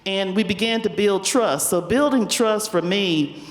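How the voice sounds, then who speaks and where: A woman's voice: medium pitch, measured pace. A woman with a South Carolina accent, speaking as a panelist on stage and telling folksy, humorous stories about her community work. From Spartanburg, South Carolina. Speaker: panelist, community foundation leader. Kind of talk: panel talk with personal storytelling. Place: large event hall on stage. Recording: echoey hall with long reverb.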